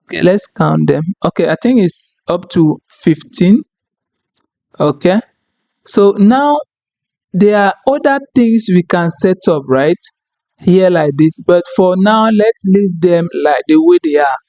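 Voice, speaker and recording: A man whose first language is French, walking viewers through a software tutorial and checking in often with "okay?" and "right?". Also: high voice, animated, takes it slowly, no echo. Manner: explanatory, frequent "okay?" and "right?" check-ins. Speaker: tutorial instructor. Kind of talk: screen-recorded software tutorial.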